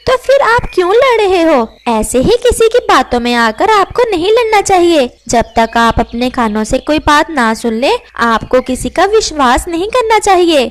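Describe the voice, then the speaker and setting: A woman voicing a sensible, gentle female little bird who soothes and reasons in a children's cartoon story. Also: extremely high pitch, very animated, normal speed, few pauses. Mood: concerned, reasoning. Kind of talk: animated children's moral story dialogue.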